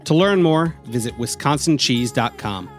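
A man's voice: mid-range voice, expressive, normal speed. A man reading a scripted sponsor message in an upbeat way. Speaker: sponsor announcer. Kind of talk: scripted ad read. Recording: music bed under the voice. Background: faint guitar music.

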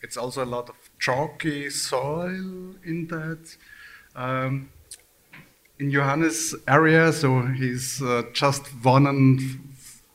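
A man's voice: medium pitch, slow, fairly bright tone. A man speaking as a winemaker on a live panel in a language, searching for words and hesitating.

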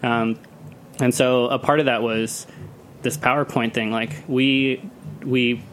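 Male interviewee, mid-pitched and level in melody, conversational explainer, peppers sentences with "like". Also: flat delivery, takes it slowly, fairly bright tone, slight background noise. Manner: chatty, uses "like" often. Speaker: guest, cocktail festival organizer. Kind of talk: casual interview talk, explaining his work. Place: radio studio.

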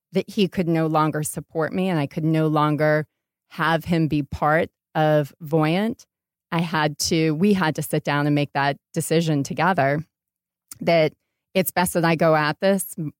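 A woman's voice: deep voice, steady tone, a little slow, dry recording, studio quality. A woman from New York talking candidly as a startup founder being interviewed, telling things emphatically and acting out little quotes. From New York, United States.

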